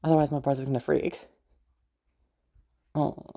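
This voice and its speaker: Woman voicing secretive young woman, outwardly friendly, low and gravelly, hesitant, roleplay audio. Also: little pitch movement, moderate pace, dark tone. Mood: playful, flustered. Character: friendly, flustered young woman hiding an obsessive dark side. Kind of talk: roleplay audio acting addressed to the listener.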